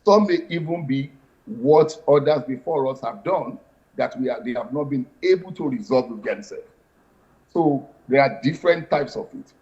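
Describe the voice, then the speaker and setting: Measured man, lo-fi sound. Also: medium pitch, lively intonation, darkish tone. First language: Yoruba. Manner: measured, explanatory, formal wording. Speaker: guest, spiritual practitioner. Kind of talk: interview answers.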